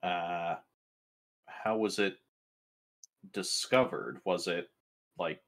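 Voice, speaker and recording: A man's voice: low voice, extremely slow, fairly bright tone. A man casually asking questions as a player in a tabletop roleplaying game.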